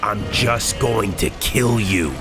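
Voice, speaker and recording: A man's voice: lowish voice, slow. A man playing a bitter, grandiose cop turned masked villain in a comic dub, scornful and menacing. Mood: gloating, menacing. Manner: scornful, menacing, self-aggrandizing. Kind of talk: dramatic comic dub acting. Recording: music behind the voice.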